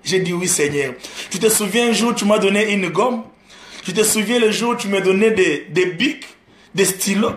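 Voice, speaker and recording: A man's voice: high voice, moderate pace, fairly bright tone, roomy sound, slight background noise. A man giving a testimony sermon, repeating phrases in a rising preaching cadence and slipping into Lingala. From Democratic Republic of the Congo. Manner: repetitive preaching cadence with laughter, mixing French and Lingala. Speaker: gospel singer preaching. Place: live-streamed church setting.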